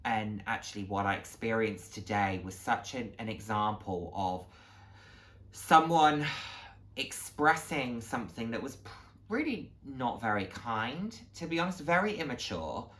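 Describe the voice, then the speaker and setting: A man's voice: medium pitch, animated, a little slow, a bit bright. A man in his late twenties chatting casually and earnestly to viewers as a vlog host, reflective and encouraging. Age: late 20s. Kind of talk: casual heartfelt talk to viewers.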